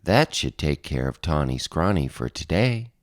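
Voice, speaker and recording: A man's voice: extremely low pitch, very animated, normal speed, no echo, studio quality. A man voicing sly, coaxing big wild animals in a picture-book read-aloud. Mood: smug.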